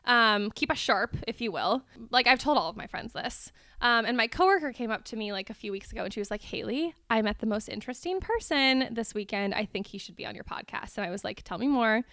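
Young woman, podcast co-host, bright slightly high voice, dry close recording, rambling with fillers. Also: animated, brisk, hardly any pauses, dry recording. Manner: rambling, filler-heavy, lots of "like" and "um". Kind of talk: casual chat.

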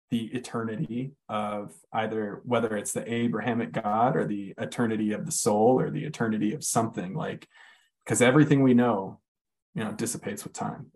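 A man's voice: on the low side, a little mellow, poor audio. A man in a casual podcast chat, talking reflectively and searching for his words. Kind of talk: casual conversation.

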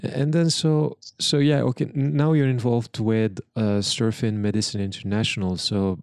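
A man's voice: medium pitch, fairly even intonation, moderate pace, vocal fry, darkish tone, clean tone, no room sound, studio quality. A man hosting an interview, who reads a formal introduction of his guest and then asks loose, rambling questions. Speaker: podcast host.

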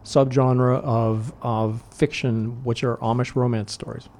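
A man's voice: medium pitch, level tone, measured pace, darkish tone. A man hosting a radio show, chatting casually in the studio, laughing often and teasing his co-host.

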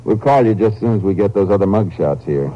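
An actor playing a stern, methodical Texas Ranger in a clipped, matter-of-fact 1950s radio style. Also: male voice, fairly low pitch, animated, fast talker, lightly acted. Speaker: lead investigator and narrator. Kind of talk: 1950s radio drama acting. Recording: noisy, dull 1950s radio recording.

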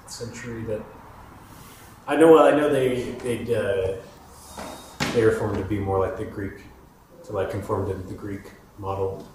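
A man's voice: fairly low pitch, fairly even intonation, darkish tone. A man taking part in a catechism class, speaking casually and hesitantly while joining the discussion. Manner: hesitant, halting, chiming in with short agreements. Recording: very echoey, heard from a distance, faint noise.

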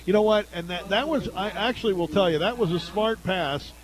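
An American man doing live radio play-by-play commentary of a high school football game. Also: a little fast, crowd noise. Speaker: play-by-play announcer.

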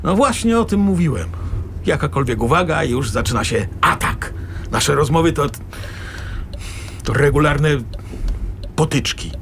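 An exasperated, sarcastic middle-aged father in a radio play. His fairly high voice rises and falls sharply as he argues, with a gravelly creak at the edges. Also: animated, a little slow, long pauses, a bit bright, slight background noise, heavily acted. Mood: sarcastic, fed up. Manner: sarcastic, argumentative. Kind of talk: radio drama acting.

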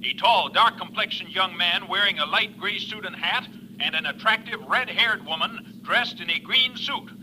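A very sharp, forceful male newsreader reads in a formal, clipped 1940s style. His voice sits high for a man. The old radio recording carries some noise and hum. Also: normal speed, rough recording. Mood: formal, urgent. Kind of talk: 1940s radio news bulletin acting.

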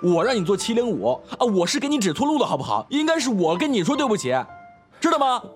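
A man's voice: very high voice, sing-song, quick pace, soft background music, heavily acted. A young man acting in a Chinese audio drama as a brash, impatient but protective schoolboy with a blunt, slangy way of talking. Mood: exasperated.

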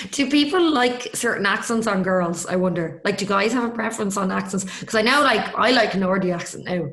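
A woman's voice: medium pitch, lively intonation, normal speed, fairly bright tone, reverberant. A woman from Dublin with a Dublin accent, co-hosting a podcast in a giggly, teasing way. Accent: Dublin Irish. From Dublin, Ireland. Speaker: podcast co-host. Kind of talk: casual chat.

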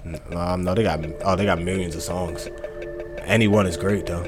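A man's voice: low-pitched, fairly even intonation, normal speed. A man co-hosting a podcast, chatting casually in a loose, profane and joking way. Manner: loose, profane, laughing banter. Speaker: podcast co-host. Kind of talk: casual chat. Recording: loud music playing behind.

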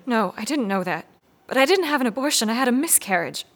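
A woman's voice: on the high side, lively intonation, quick pace, fairly bright tone. An actress playing a guarded married mother in an audio drama, curt and defensive as she is questioned. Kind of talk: scripted audio drama dialogue.

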